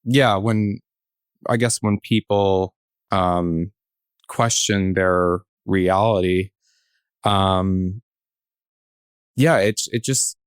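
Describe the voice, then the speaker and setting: A man's voice: low voice, very slow, pauses often, clear, resonant tone, no room sound, studio quality. A man speaking as a guest in a casual discussion, reflective and tentative, feeling his way through his sentences. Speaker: podcast guest, theatre artist.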